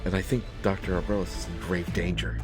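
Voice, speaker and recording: A man playing a nervous, bookish survivor in a sci-fi audio drama, speaking haltingly with frequent stammers. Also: low-pitched, steady tone, music in the background, lightly acted. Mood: grave, worried. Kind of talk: scripted sci-fi audio roleplay, solo acting.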